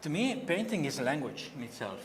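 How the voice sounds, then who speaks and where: A man from Barcelona speaking English with a Spanish accent, a painter giving a halting gallery talk who repeats words as he searches for them. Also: on the high side, very animated, a little hiss or hum. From Spain. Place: gallery hall. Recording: long hall reverb, faint ambience.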